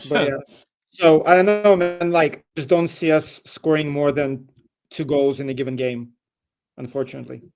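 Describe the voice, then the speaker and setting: A man's voice: on the high side, steady tone, moderate pace, darkish tone. A man talking casually as a phone-in guest on a football show, hedging and matter-of-fact as he argues. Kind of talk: casual football debate chat.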